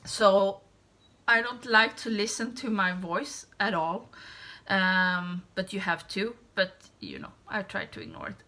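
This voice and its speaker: A woman with a Swedish accent, a native Swedish speaker, chatting casually to the camera in a light voice, rambling and hesitant, trailing off softly. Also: mid-range voice, steady tone, unhurried. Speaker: beauty YouTuber. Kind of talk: casual tag video chat.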